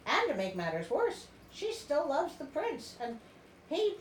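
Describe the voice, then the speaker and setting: Animated high-voiced woman storyteller. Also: measured pace. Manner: theatrical, wry, expressive. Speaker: storyteller, show host. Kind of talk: comedic storybook reading with asides. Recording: roomy echo, faint background noise.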